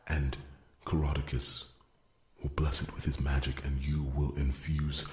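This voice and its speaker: Very deep, halting fantasy blacksmith, played by a man. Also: little pitch movement. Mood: solemn resolve. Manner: halting stammer, repeating words earnestly. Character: earnest devoted male fantasy blacksmith warrior and brewer. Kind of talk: ASMR fantasy roleplay monologue. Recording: roomy, echoing sound.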